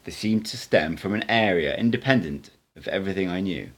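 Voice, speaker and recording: A man, an amateur reader, narrating an audiobook in an expressive storytelling style. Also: sits low, brisk.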